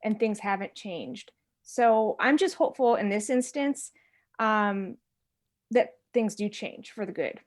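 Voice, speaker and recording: A woman's voice: mid-range voice, lively intonation, measured pace. A woman, a guest on a radio talk show, speaking in a reflective, conversational way. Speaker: guest, researcher. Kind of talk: radio interview answers.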